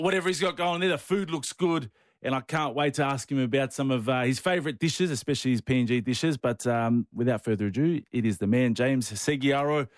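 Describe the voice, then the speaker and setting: A man's voice: mid-range voice, no room sound, studio quality. Easygoing male podcast host. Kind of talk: casual podcast chat.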